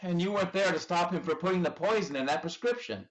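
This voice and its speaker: A woman's voice: fairly low pitch, fast talker, slight background noise, close to an everyday voice, poor audio. A woman playing an innocent, centuries-old male angel in a radio play, quaint and earnest with small hesitations. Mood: earnest, solemn. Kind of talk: community theatre radio play acting. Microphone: video call.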